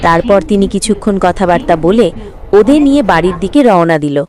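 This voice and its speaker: A woman's voice: mid-range voice, animated, a little fast, darkish tone. A woman giving scripted narration for a cartoon story.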